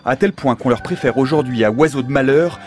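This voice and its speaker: A man hosting a radio science show from a studio, reading a scripted opening and then interviewing with a measured delivery. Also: medium pitch. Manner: measured, with hesitant fillers when ad-libbing. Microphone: studio microphone.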